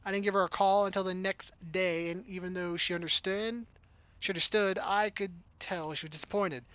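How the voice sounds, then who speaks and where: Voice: medium pitch, fairly even intonation, moderate pace, fairly bright tone, clean tone, no room sound, dull audio. A game streamer reading a story aloud as narration, with casual, wry asides. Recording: background music behind the voice. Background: game music.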